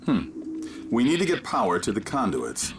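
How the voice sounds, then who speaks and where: An actor playing the calm, dryly wry consciousness of an alien living inside a device. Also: male voice, fairly low pitch, moderate pace, noisy background.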